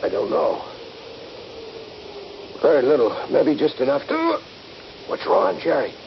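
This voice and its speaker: A dull, faintly noisy old radio recording features an injured soldier determined to get free. His voice is thick with gravelly creak and moves through very lively pitch swings, in classic radio drama style. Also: unhurried, exaggerated voice. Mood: weary, uneasy. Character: injured soldier buddy, trapped but determined.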